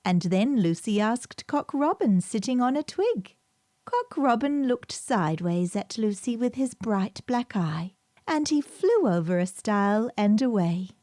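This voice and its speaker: A woman narrating a scripted children's story as a storybook reader, with gentle music behind her. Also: fairly high pitch, big pitch swings, measured pace, no room sound, studio quality. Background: gentle background music.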